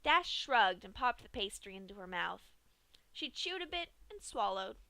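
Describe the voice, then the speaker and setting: A woman narrating a fan-fiction story aloud in an expressive reading. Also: mid-range voice, normal speed, dry recording.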